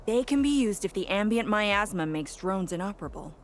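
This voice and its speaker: A woman's voice: mid-range voice, expressive, fairly quick, a little hiss or hum, natural voice. A woman playing an earnest, helpful young female courier in a video game.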